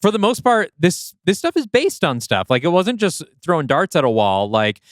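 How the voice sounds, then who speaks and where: A man's voice: a little high, sing-song, normal speed, no room sound, clean studio sound. A man in his thirties co-hosting a casual podcast, easygoing and teasing, with frequent fillers. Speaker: podcast co-host.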